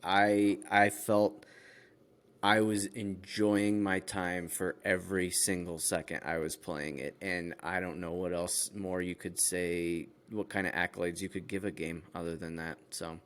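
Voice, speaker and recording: A man's voice: deep voice, little pitch movement, a little slow. A man co-hosting a casual gaming podcast, speaking earnestly and repeating phrases for emphasis. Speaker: podcast co-host.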